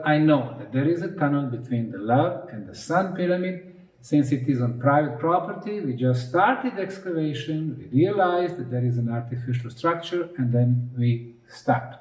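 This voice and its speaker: A man from Bosnia presents an explanatory lecture in deliberate, emphatic chunks. His mid-pitched voice rises and falls in a lively way. It is picked up from a distance, with clear room echo around it. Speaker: researcher presenting his analysis. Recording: roomy echo, distant microphone.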